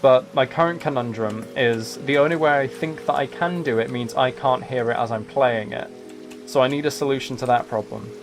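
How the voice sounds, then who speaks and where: A man's voice: mid-range voice, moderate pace. Casual male streamer with music behind. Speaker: streamer, host. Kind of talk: casual live game stream chat. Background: background music.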